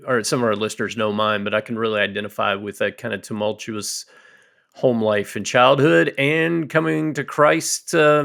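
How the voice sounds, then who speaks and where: A man's voice: medium pitch, creaky, fairly bright tone. A man hosting a church-leadership podcast, welcoming a guest with upbeat enthusiasm. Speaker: podcast host.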